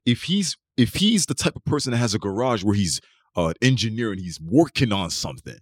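A low-pitched man holds forth as a podcast host, making his case at length and circling back over his own phrases. His voice is dry and close to the mic. He keeps pushing his argument in a casual but insistent way. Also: sing-song, a little fast, no echo. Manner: long-winded, argumentative, restarts and repeats phrases.

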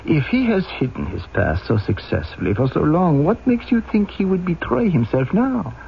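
Creaky, animated skeptic. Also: male voice, a little high, moderate pace. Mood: doubtful. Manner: questioning, doubtful. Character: skeptical male colleague of the inspector, reasonable. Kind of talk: radio drama acting. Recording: dull old radio recording, faint noise.

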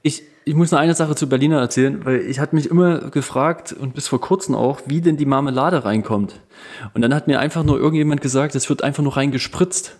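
A man with a Saxon accent, co-hosting a podcast and telling stories in a lively way with halting restarts. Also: mid-range voice. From Saxony, Ore Mountains, Germany. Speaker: podcast co-host. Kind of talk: casual chat.

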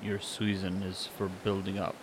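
A man voicing a calm, stern, loyal first mate of a sailing ship, speaking in a terse, measured way. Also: low-pitched, background noise, close to an everyday voice. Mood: calm, firm.

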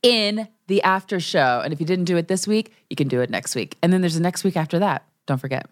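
A woman's voice: lowish voice, fast talker. A woman in her thirties hosting a podcast, chatting casually and telling stories with frequent laughs. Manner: chatty, laughs often, animated storytelling. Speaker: podcast host.

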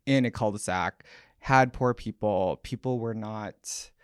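A man's voice: lowish voice, measured pace, smooth, no room sound, clean studio sound. A man from Hawaii, a podcast guest telling his life story in a candid, reflective way. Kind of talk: personal storytelling interview.

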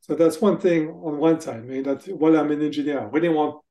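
A middle-aged man from France speaks English with a clear French accent. His voice is a little higher than most men's yet dark and mellow in tone. He answers in a casual, halting way, often restarting his sentences. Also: steady tone. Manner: hesitant, restarts phrases, laughs between thoughts. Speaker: guest, tech executive.

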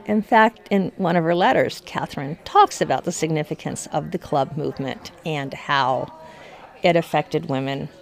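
A woman's voice: low-pitched, moderate pace, vocal fry. A woman giving informal history commentary as an expert, with an emphatic, conversational manner.

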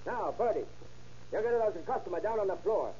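An actor playing a bossy, tough gangster leader in an old radio drama, talking in gruff, slangy tough-guy speech. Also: high-pitched, expressive, a little fast, mellow, highs cut off. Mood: menacing. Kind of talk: 1930s radio acting. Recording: scratchy old radio recording with hiss.

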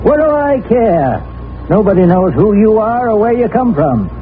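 Menacing American homesteader, folksy rural villain, swooping intonation, radio crime drama, tinny scratchy 1940s recording. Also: male voice, high-pitched, sing-song, normal speed. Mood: cold, menacing. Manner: folksy rural speech turning threatening. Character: menacing, greedy, cold-blooded homesteader villain, adult man.

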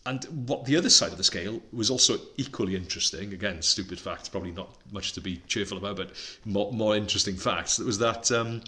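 Bright-voiced man, chatty podcaster. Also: on the low side, fast, few pauses. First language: Welsh. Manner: chatty, enthusiastic, reeling off facts and figures. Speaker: podcast co-host. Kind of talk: casual podcast chat.

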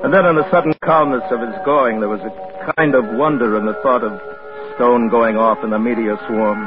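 A man's voice: on the low side, natural voice. An actor in a 1950s radio drama playing a bitter, envious spaceman facing death, intense and insistent. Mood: quiet wonder. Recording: dull 1950s radio recording with music.

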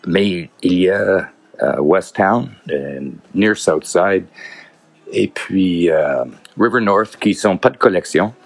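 Deep-voiced, halting man. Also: vocal fry. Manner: halting, searching for words in a second language. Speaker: podcaster. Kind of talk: casual solo podcast monologue.

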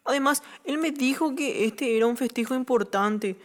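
A woman's voice: high voice, normal speed, lightly acted. A woman playing a lonely, skeptical ten-year-old boy in an audio drama. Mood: downcast.

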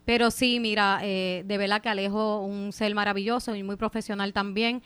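A woman's voice: medium pitch, level tone, normal speed, a bit bright, clean tone, no echo. A woman with a Puerto Rican accent, a legislator, speaking politely as a radio guest with some hesitant fillers. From Puerto Rico. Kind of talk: formal, courteous radio chat. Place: radio studio.

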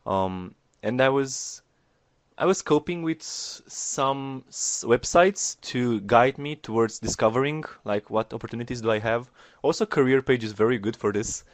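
A man's voice: mid-range voice, normal speed. A young man, a former mentee, talking to podcast listeners in an earnest, encouraging way with some fillers. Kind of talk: podcast chat addressing listeners.

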